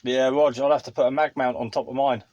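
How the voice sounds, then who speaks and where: A man's voice: mid-range voice, fairly even intonation, fast talker, lo-fi sound, noisy background. A British man chatting casually as a vlogger and radio hobbyist. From United Kingdom. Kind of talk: casual chat. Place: inside a parked motorhome.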